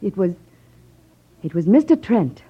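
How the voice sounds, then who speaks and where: An actress playing a bitter, defiant widow bent on revenge, emotional and dramatic, in a 1950s radio drama. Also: female voice, medium pitch, sing-song, measured pace, slightly rough, exaggerated voice. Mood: hesitant. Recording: muffled vintage 1950s radio recording.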